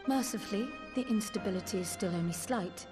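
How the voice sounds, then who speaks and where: A woman's voice: mid-range voice, lightly acted. Formal, grave scholarly fantasy woman played by an actress. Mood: solemn, resolute. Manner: formal, measured, archaic diction. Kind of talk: video game cutscene acting. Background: music.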